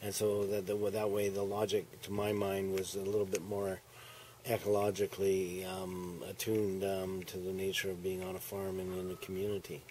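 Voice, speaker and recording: A man's voice: low-pitched, monotone, moderate pace, warm tone, a little hiss or hum, poor audio. A man in his sixties, an author, chatting on an outdoor farm patio and rambling with a trailing "right?". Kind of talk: relaxed interview chat.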